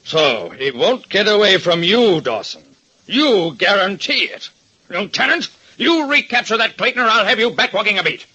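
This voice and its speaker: An actor playing a gruff police commissioner who gives curt, commanding orders. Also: male voice, high voice, very animated, normal speed, pushed and bright, heavily acted. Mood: stern. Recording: dull lo-fi old radio broadcast.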